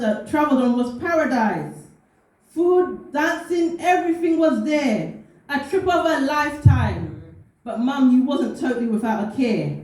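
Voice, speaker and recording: A woman recites personal spoken-word poetry in a fairly high voice. Her delivery is deliberate and heartfelt. She sounds some way from the mic in a very reverberant room. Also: a little mellow. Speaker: poet. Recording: very echoey room, distant mic, faint noise.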